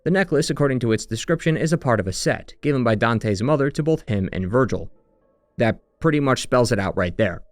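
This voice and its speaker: A man's voice: medium pitch, lively intonation, fairly quick, no room sound. A man giving a scripted narration for a video game retrospective.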